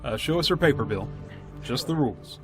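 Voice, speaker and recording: A man playing a brisk village official in a radio drama, with folksy, matter-of-fact authority. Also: mid-range voice, expressive, over music, natural voice. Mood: firm, businesslike. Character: brisk village official calmly running a grim ritual.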